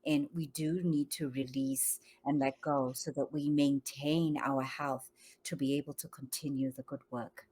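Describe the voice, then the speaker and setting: A woman from Zambia, a yoga studio founder, speaking calmly and reflectively as a guest on a video call interview. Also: very deep voice, measured pace, breathy edge. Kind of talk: online interview conversation.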